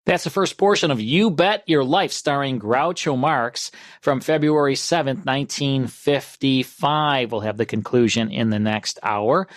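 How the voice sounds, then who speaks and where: A man's voice: mid-range voice, measured pace, no echo. Male radio host in a dry studio. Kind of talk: scripted-sounding show presentation and casual banter.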